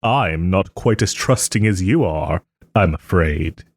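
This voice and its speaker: A man playing a smug but soft-hearted nobleman and former lover in an audio roleplay, suave and refined, with gentle teasing and hesitant pauses. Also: sits low, expressive, breathy edge, no room sound, exaggerated voice. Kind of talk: audio roleplay, dramatic monologue to listener.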